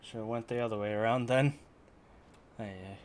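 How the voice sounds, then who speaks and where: A man's voice: mid-range voice, steady tone, normal speed. A man doing casual gameplay commentary, muttering and echoing lines back as he plays. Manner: mutters, echoes dialogue back, laughs. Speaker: streamer. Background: game music.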